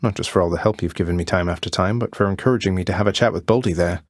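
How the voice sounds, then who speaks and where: An actor playing a wry young prince of the underworld in a video game, courteous with a dry, understated sarcasm. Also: male voice, low-pitched, very fast, no room sound. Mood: sincere, grateful. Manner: dry, understated, politely sardonic. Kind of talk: video game character voice lines.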